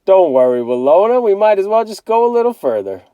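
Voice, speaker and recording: A man's voice: high voice, sing-song, brisk, clear, resonant tone, no room sound, dull audio, voice pushed up. A man reading a children's novel aloud as an easygoing, joking father with a folksy, reassuring manner.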